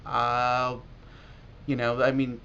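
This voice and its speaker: An American man talking casually and reflectively in a video blog as a language learner, halting now and then with pauses. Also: medium pitch, monotone, pushed and bright. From United States. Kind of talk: casual talk to camera about learning. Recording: dull lo-fi audio with clear noise.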